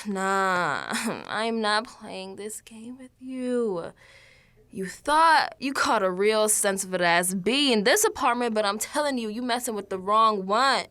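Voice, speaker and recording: A woman's voice: mid-range voice, slow pace, no echo. An actress playing an exasperated, sassy young American woman in a colloquial radio drama monologue, slangy and confessional. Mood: threatening, indignant. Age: young adult. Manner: slangy, sassy, animated, sighing asides.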